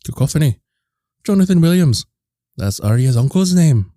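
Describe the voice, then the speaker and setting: An exasperated, task-minded co-host acted by a man, soft-edged and mellow but very lively in pitch. The banter is casual and recorded dry. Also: normal speed, dry recording, lightly acted. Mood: mildly exasperated. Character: exasperated, focused male co-host keeping things on track.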